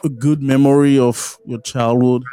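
A man hosts a podcast in an upbeat, encouraging way. His voice is smooth and resonant. It is recorded close to the mic, with a dry sound and no room echo. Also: mid-range voice, level tone, normal speed, dark tone, soft background music. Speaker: podcast host. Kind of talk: casual podcast interview, sponsor read.

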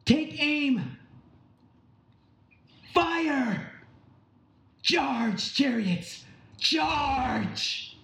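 A man's voice: high-pitched, lively intonation, slow, a little hiss or hum. A man playing an urgent ancient army commander in an amateur audio drama, declaiming battle orders. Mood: urgent, commanding. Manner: loud declamatory barking of orders. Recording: echoey room.

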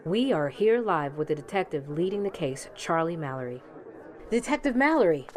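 A woman's voice: fairly low pitch, very animated, normal speed, background noise. A woman playing an insistent news reporter in an audio drama, pressing urgently with questions. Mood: eager, pressing. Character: eager, pressing female television news reporter.

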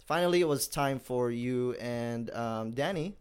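A man's voice: medium pitch, measured pace, clear, resonant tone. A young man co-hosting a casual podcast chat, telling stories and laughing often. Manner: laughs often, animated storytelling. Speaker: podcast co-host.

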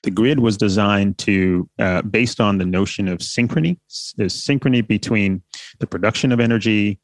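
Low-voiced man explaining steadily. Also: no room sound. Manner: measured, explanatory, with light fillers. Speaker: company founder, guest. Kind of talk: interview answer, explaining.

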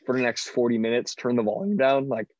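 A man co-hosting a podcast, telling casual stories in a loud, piercing voice with a joking, self-deprecating manner. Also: mid-range voice, quick pace, dry recording, lo-fi sound. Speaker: podcast co-host. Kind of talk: casual banter and storytelling. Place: online video call.

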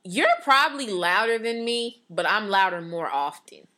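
A woman co-hosts a casual podcast, talking loud and passionate with a bright, sharp tone. Her pitch swoops around a lot as she laughs and jumps back in. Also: animated, normal speed. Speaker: podcast co-host.

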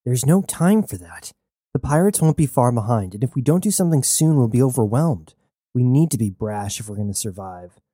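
A woman's voice: a bass voice, sing-song, a little fast, dark tone, husky, no room sound, clean studio sound, full character voice. A narrator voicing a bold, reckless woman adventurer who talks with brash confidence. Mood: urgent.

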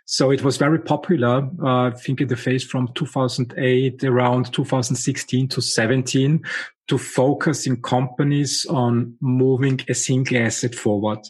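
A man with a husky, slightly breathy voice hosts an interview, introducing his guest and posing long questions. He talks haltingly, with many uhs along the way. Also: mid-range voice, fairly even intonation, a little mellow. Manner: halting, frequent uh fillers. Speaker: podcast host.

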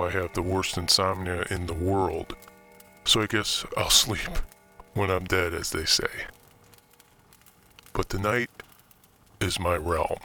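Man playing a deep-voiced, brooding occult collector. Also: bright, forceful tone, heavily acted. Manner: theatrical, measured, dramatic pauses. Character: brooding, theatrical male occult collector haunted by evil. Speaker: narrator and main character of horror fiction podcast. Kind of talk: scripted first-person horror storytelling.

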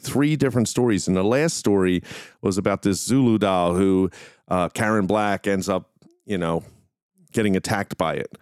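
A man's voice: on the low side, smooth, no echo, studio quality. A man hosting a solo podcast, talking casually and informally in a meandering way. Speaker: podcast host.

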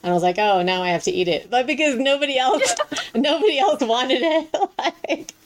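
A woman's voice: high-pitched, lively intonation, moderate pace, a little hiss or hum. A woman chef hosting an interview, asking short questions and laughing easily. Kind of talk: casual interview chat.

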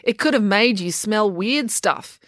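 A woman voicing a know-it-all boy who reasons in a calm, matter-of-fact way. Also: medium pitch, a little slow, dry recording, studio quality, voice pushed up. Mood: reasoning.

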